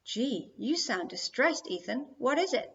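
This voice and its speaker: A woman voicing a spaceship's talking mind with a dry, wry, measured manner in an audiobook. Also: a little high, sing-song, a bit bright, highs cut off, voice pushed up, exaggerated voice. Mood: wry, questioning. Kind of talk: audiobook character dialogue.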